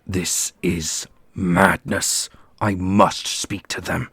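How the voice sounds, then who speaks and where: Man playing a brooding immortal prince, low pitch, slow delivery, gravelly, grave, commanding, fantasy audio roleplay. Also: level tone, a bit bright, dry recording, full character voice. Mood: frustrated, urgent.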